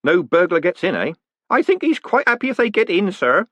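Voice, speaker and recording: Excitable, high-pitched old postman. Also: brisk, no room sound, exaggerated voice. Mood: cheerful, chatty. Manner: folksy, colloquial, eager. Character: excitable, chatty, deferential old male postman. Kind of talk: audiobook character voice.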